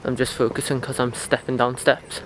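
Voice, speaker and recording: A man's voice: medium pitch, little pitch movement, moderate pace, fairly bright tone, low-quality recording. A young man vlogging as he walks along a riverside path, talking in a reflective, rambling way with lots of fillers, recorded on wireless earbuds. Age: young adult. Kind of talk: casual walking vlog. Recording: outdoor street noise. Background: traffic and outdoor ambience.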